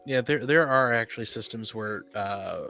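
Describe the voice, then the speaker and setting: A man in a casual podcast discussion, explaining his thinking in a halting, explanatory way. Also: medium pitch, creaky, sharp tone, soft background music. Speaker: podcast speaker on tabletop gaming.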